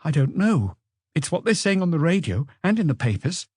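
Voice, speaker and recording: An audiobook character, an anxious and guarded young woman, played by a male reader. The voice is raised and lilting, with a soft, hesitant way of answering. It sounds close and dry. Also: a little high, big pitch swings, normal speed, slightly rough, dry recording, pitched above the performer's own voice. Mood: earnest. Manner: hesitant, evasive, soft-spoken.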